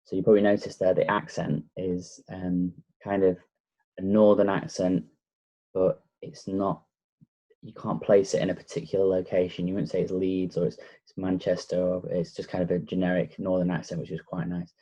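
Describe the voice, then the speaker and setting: A man with a Lancashire accent gives a relaxed, casual tech walkthrough, with frequent ums and pauses. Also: low-pitched, poor audio. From Blackpool, North West England. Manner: relaxed, hesitant with many fillers. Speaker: tech reviewer. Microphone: laptop microphone.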